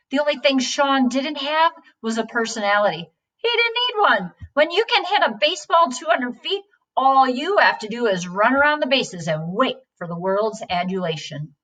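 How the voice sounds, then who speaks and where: A woman with a fairly high voice reads a story aloud, a little far from the mic. Her phrasing is deliberate and expressive, in the manner of read-aloud storytelling. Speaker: story reader. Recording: distant from the microphone.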